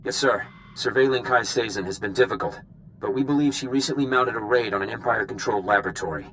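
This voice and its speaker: An actor playing a dutiful young field agent in a video game, speaking crisply and professionally. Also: male voice, mid-range voice, fast talker, fairly bright tone, a little hiss or hum, lightly acted, poor audio. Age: young adult. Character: eager, dutiful young male spy.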